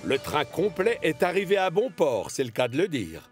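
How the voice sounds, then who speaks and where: A man narrating a children's cartoon as an expressive storyteller. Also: fairly high pitch, normal speed, soft background music.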